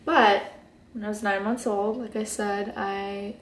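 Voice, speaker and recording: A woman's voice: mid-range voice, steady tone, a little slow, a little hiss or hum. A sleepy young woman in her twenties whose first language is Hebrew, chatting in a laid-back way in her bedroom while doing her makeup. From Israel. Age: 20s. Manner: laid-back and chatty, many ums and likes. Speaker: beauty vlogger. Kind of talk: casual get-ready-with-me chat. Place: bedroom, indoors. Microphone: camera at close desk distance. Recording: echoey bedroom recording.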